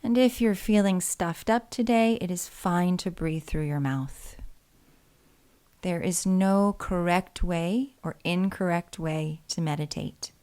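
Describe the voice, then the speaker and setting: A woman leading a guided body scan meditation in a soothing, gentle and unhurried way, leaving pauses between phrases. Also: lowish voice, clean tone.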